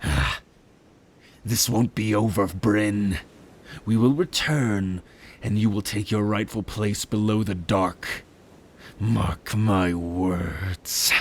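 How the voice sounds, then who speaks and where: A man's voice: on the low side, takes it slowly. A man playing a cruel, arrogant merman prince in a fantasy audio drama, taunting with haughty contempt. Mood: alarmed, threatening.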